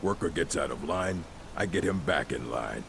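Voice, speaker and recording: Very deep, gravelly surly overseer. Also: male voice, animated, normal speed, noisy background, exaggerated voice. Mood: blunt. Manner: blunt, grumbling. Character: gruff, surly male smelter overseer in fantasy game. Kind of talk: video game character dialogue. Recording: game music behind the voice.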